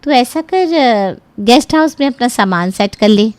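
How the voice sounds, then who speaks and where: महिला द्वारा निभाई मनाती, समझाती अधेड़ माँ. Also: female voice, fairly high pitch, animated, a little slow, a little mellow, dry recording, voice pushed up. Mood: hesitant, practical. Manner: coaxing, explaining. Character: placating middle-aged mother, practical and coaxing. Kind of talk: cartoon story dialogue.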